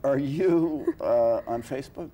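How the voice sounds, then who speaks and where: A man hosting a sit-down interview, putting his questions in halting, searching phrases. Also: mid-range voice, dull audio, slight background noise. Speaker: interview host.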